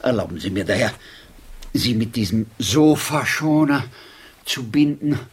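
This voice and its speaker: Husky, wry gentleman philosopher. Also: mid-range voice, lively intonation, a little slow. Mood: polite, courteous. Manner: courteous, wry, discursive. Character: genial, ironic, eloquent English gentleman philosopher. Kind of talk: German radio drama acting.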